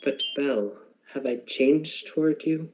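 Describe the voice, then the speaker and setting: A defensive young businessman grown hard with greed as a man acts him, in a radio play. The voice is mellow and comes through a dull, lo-fi recording. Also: mid-range voice, unhurried, faint music behind, lightly acted. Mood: defensive, hurt. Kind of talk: amateur radio drama acting.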